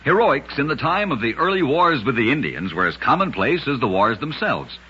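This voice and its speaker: Male radio narrator, very husky, lively pitch, dramatic storyteller on a lo-fi vintage recording. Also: normal speed. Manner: dramatic, emphatic storytelling delivery. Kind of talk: scripted narration of short oddity stories for radio.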